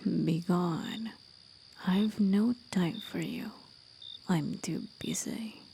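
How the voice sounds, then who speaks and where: Woman playing old male angel in whispered ASMR tale: grumbling, dismissive, very quiet, slow, crickets and faint hiss behind. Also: lowish voice, creaky, full character voice. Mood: grumpy, sceptical. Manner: curt, dismissive, muttered.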